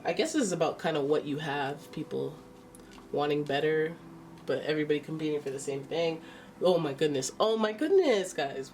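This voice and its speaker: A woman's voice: sits low, darkish tone, far from the mic, a little hiss or hum. A woman with a stuffy nose giving a casual, chatty tarot reading, exclaiming and thinking aloud as she turns the cards. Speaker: tarot reader.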